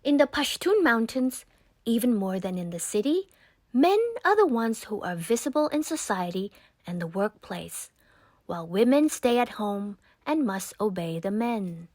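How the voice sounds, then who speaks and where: Expressive woman reading a children's storybook. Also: medium pitch, measured pace, no echo. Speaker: read-aloud narrator of a children's picture book. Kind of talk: scripted storybook narration.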